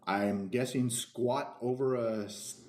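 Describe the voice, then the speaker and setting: A man co-hosting a casual podcast, explaining things in a halting, hedging way. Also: medium pitch, steady tone, a little mellow. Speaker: podcast co-host. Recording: echoey room.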